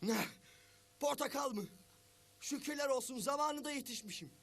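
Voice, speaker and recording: A man's voice: very high voice, animated, normal speed, vocal fry, fairly bright tone, exaggerated voice. A man voicing a stern but caring guardian in a cartoon, speaking in an admonishing, emphatic way. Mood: firm, concerned. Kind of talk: cartoon voice acting.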